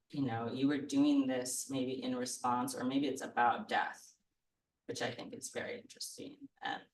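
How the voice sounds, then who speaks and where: Scratchy-voiced woman painter, earnest. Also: very deep voice. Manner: thoughtful, earnest, gently admiring. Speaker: painter and guest. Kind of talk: casual public conversation. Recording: roomy sound from far off the mic.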